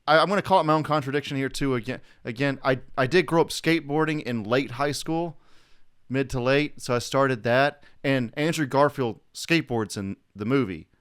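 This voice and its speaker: A man's voice: medium pitch, moderate pace, a bit bright, clean tone. A man talking casually as a podcast panelist, blunt and prone to swearing. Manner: blunt, profane. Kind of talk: casual discussion.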